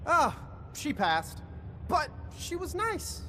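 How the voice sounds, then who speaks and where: Excitable, stammering, naive wasteland settler. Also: male voice, very high voice, slow pace, a little hiss or hum, full character voice. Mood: flustered, earnest. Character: naive, eager-to-please, simple male wasteland settler. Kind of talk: video game character dialogue.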